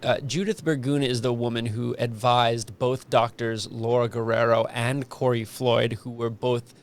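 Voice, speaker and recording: A man's voice: medium pitch, little pitch movement, a little slow. A man hosting a casual interview podcast, chatting informally and explaining things as he goes. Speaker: podcast host.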